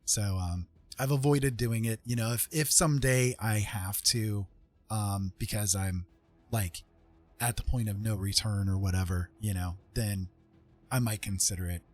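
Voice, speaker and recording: A man with a husky voice hosting a casual livestream, talking in a rambling, joking way. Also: on the low side, fairly even intonation, a little slow, darkish tone, soft background music. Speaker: streamer host.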